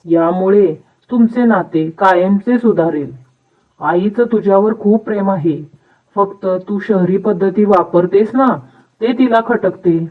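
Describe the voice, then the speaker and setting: A man's voice: high voice, measured pace. A narrow, phone-like recording, a little distant, carries a devoted young husband, acted by a man. He sounds reasonable and explanatory, as in a cartoon moral tale. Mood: advising. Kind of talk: animated moral story dubbing.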